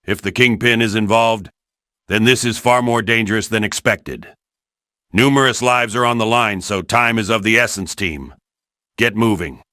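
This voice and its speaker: Guy doing a serious military boss briefing superheroes, sharp low voice, game dialogue. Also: moderate pace, no room sound, clean studio sound. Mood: commanding. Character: serious, commanding male spy chief briefing heroes. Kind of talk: scripted fan-made game voice acting.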